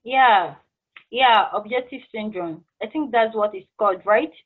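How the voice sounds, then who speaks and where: A woman's voice: mid-range voice, a little slow, lightly acted. Woman acting an awkward cashier, phone-like sound. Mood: chatty. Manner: hesitant, informal, conversational. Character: friendly, awkward, curious female drive-through cashier. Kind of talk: casual table read of a stage play. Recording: muffled, phone-like band-limited call audio.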